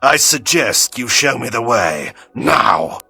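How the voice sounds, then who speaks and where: Haughty menacing game vampire, sharp forceful voice, swings up and down, faint music. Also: male voice, medium pitch, big pitch swings, slow, gravelly, slightly rough, exaggerated voice. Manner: haughty, formal, commanding. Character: arrogant, menacing male vampire, imperious and threatening. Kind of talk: theatrical video game voice acting.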